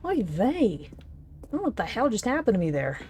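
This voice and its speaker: A woman's voice: mid-range voice, very animated, normal speed, darkish tone, slight background noise. A young woman playing a game and commenting casually, giggly and sarcastic, swearing freely. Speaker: let's play host.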